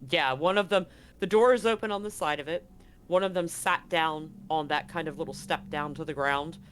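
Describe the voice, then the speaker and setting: A man's voice: a little high, moderate pace, fairly bright tone, clean tone. A man talking casually as the game master of a tabletop roleplaying game, describing scenes and rules. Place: live online game stream.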